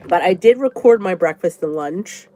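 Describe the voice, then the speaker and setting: A woman's voice: on the low side, expressive, normal speed, warm tone, slight background noise, poor audio. A congested woman vlogger with a stuffy nose, rambling chattily as she answers viewer comments in a casual live chat. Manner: chatty and rambling, thinks aloud.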